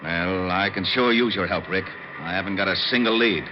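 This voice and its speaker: An actor playing a gruff, long-suffering police lieutenant in an old radio crime drama. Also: male voice, low-pitched, moderate pace, close to an everyday voice. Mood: businesslike. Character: exasperated, harried police lieutenant. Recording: muffled, noisy old radio recording.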